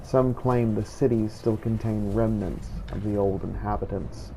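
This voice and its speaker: A man acts out a devout, solemn male vampire hunter recounting a fantasy game quest like a journal entry. The voice is fairly low and soft-edged, dark in tone. The delivery is measured and grave, over faint noisy ambience. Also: steady tone. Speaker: player narrating a game playthrough in character. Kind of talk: scripted in-character journal narration.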